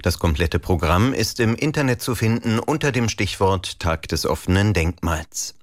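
A man presenting the news on regional radio in a formal, even read-out manner. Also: lowish voice, normal speed, dry recording, clean studio sound. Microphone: studio microphone.

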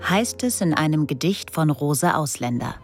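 Brief: female feature narrator, low and composed. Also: lively intonation, normal speed, over music. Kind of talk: scripted radio feature narration.

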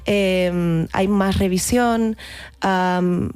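A woman's voice: mid-range voice, steady tone, extremely slow, a little mellow, clear, resonant tone. A woman from Catalonia, an actress, speaking frankly and reflectively in an interview, with easy laughter. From Catalonia, Spain. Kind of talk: conversational interview answers.

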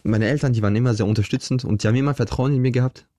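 Young guy telling his story in an interview, talks fast, warm mellow voice, lots of ums. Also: mid-range voice, no room sound, clean studio sound. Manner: earnest, reflective, many fillers and restarts. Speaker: interview guest. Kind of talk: casual conversational storytelling.

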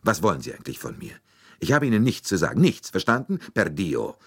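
A man playing an excitable, husky Italian suspect. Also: low-pitched, a little fast, dry recording, studio quality, heavily acted. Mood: defiant. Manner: indignant, emphatic protesting. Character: excitable, defensive Italian man, scornful and suspicious.

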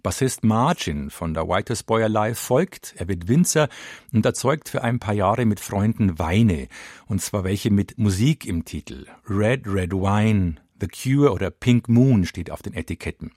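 A man with a lowish voice narrates a radio feature from a script. His delivery is measured and even. The studio sound is close and dry, with no room echo. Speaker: radio reporter.

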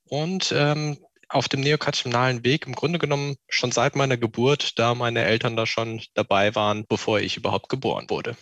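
A man's voice: medium pitch, steady tone, moderate pace, fairly bright tone, no room sound. A man in his twenties casually introducing himself as an interviewee. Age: 20s.

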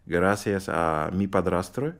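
A middle-aged man whose first language is Russian, speaking in a radio interview as a guest pianist, halting and searching for words with many restarts. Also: low voice, fairly even intonation, gravelly.

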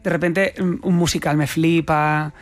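A man with a Spanish accent from Spain, chatting in an expressive, colloquial way as an interview guest. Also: fairly high pitch, unhurried. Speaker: guest actor being interviewed. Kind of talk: casual interview chat.

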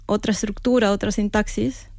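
Woman, reflective, hesitant, tag questions, mid pitch, dry. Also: steady tone, moderate pace, a little mellow, dry recording. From Peru. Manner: reflective, hesitant, frequent "¿no?" tags and restarts. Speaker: author interviewed about her book. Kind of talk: conversational interview.

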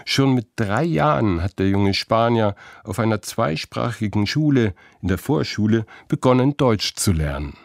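A man's voice: on the low side, expressive, moderate pace, gravelly, few pauses, no echo. Man reading a news report, dry studio sound. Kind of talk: scripted news narration. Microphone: studio voice-over.

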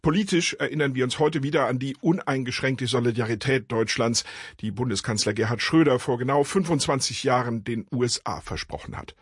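Husky male German radio commentator. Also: medium pitch, brisk, no echo, studio quality. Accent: standard German of Germany. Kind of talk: scripted opinion commentary.

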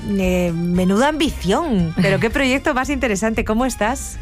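A woman's voice: on the high side, lively intonation, moderate pace, soft background music. A woman with an accent from Spain hosting a radio interview in an effusive, encouraging, conversational way.

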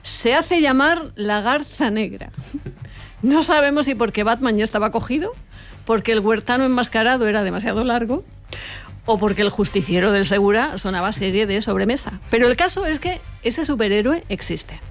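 A woman's voice: on the high side, moderate pace, faint music behind. A woman co-hosting a show, presenting a story with a light, wry delivery. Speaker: radio show co-host.

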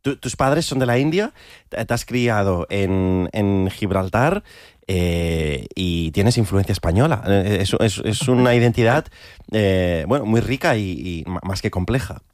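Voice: deep voice, expressive, unhurried, a little mellow, no room sound, studio quality. An interviewer asking short questions and giving frequent brief affirmations. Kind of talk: conversational interview.

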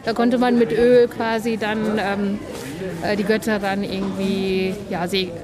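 Thirties woman, halting interview answers over a crowd. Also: medium pitch, level tone. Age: 30s. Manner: hesitant, many fillers. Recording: crowd noise behind.

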